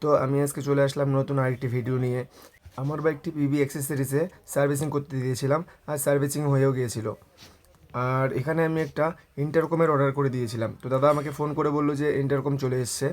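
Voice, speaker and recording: Fast-talking, low-key man narrating a vlog. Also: steady tone, a little mellow. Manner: mixes English words into speech. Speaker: motorbike vlogger. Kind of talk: scripted vlog voice-over narration.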